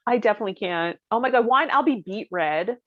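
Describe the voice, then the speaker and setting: A woman's voice: a little high, animated, fast, no room sound, lo-fi sound. A woman co-hosting a podcast in casual comedic chat, with a giggly, self-deprecating manner. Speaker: podcast co-host.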